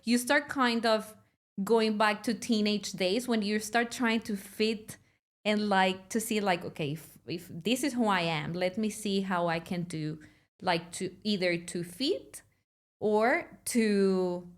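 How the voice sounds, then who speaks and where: Mexican-accented woman host, native Spanish speaker, lively casual interviewer, laughs a lot, mid pitch. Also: slow. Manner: lively, laughs often, restarts sentences. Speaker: podcast host.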